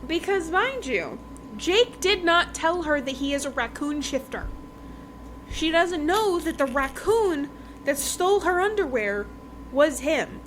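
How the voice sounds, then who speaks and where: A woman's voice: high voice, sing-song, unhurried, a bit bright. A woman podcast host casually retelling a novel's plot in a chatty, conversational way. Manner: chatty, conversational, acts out dialogue in passing. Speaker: podcast host reviewing books. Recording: clearly noisy background.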